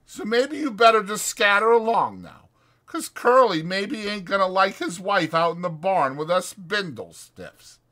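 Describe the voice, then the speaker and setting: A man's voice: high voice, lively intonation, a little slow, pushed and bright, pitched above the performer's own voice, full character voice. A man voicing a cranky, defiant old ranch hand with a blustering, folksy rural American delivery in an audiobook. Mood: controlled, dismissive.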